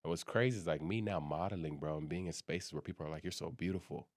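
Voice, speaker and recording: A young man with an extremely deep voice chats easily as a podcast guest. His talk is loose and friendly, peppered with "bro" and "you know what I mean". The sound is close and dry, with little room around it. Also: quick pace, dry recording. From South Sudan. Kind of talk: casual podcast chat.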